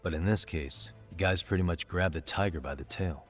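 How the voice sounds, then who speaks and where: A calm, determined detective questions a prisoner with steady, pressing insistence. His voice sits deep and low, and a faint music bed runs under the game scene. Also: a little fast. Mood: stern. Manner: pressing, steady interrogating delivery. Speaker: detective in cutscene. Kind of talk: game voice acting, dub.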